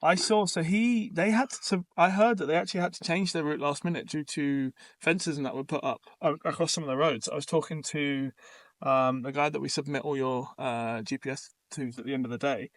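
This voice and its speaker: Higher-voiced man in casual podcast chat. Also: steady tone, fairly quick, dry recording. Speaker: podcast speaker, off-road driver.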